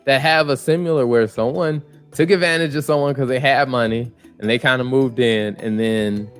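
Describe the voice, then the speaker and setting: A man hosting a podcast in casual chat, explaining things in a measured, step-by-step way. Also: mid-range voice, fairly even intonation, clear, resonant tone, faint music behind. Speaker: podcast host.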